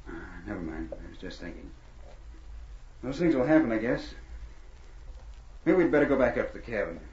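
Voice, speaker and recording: An actor playing an earnest, folksy, stubborn gold miner in 1940s radio style. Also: male voice, mid-range voice, very animated, a little fast, a little mellow, lightly acted. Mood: puzzled, wary. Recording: dull, hissy old radio recording.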